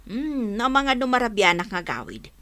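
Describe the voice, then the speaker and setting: A woman's voice: a little high, big pitch swings, normal speed, bright, forceful tone, exaggerated voice. An actress playing a nosy, middle-aged village mother in a radio comedy drama, scolding and teasing, with an Ilocano Filipino accent. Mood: nagging. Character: nosy, nagging village mother, teasing her grown daughter.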